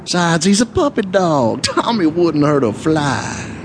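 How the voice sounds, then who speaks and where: An actor playing a cocky, possessive young farmhand lover in an audio drama, with a folksy way of talking. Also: male voice, fairly high pitch, very animated, measured pace, background noise. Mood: dismissive, protective. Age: young adult. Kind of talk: intimate audio drama acting.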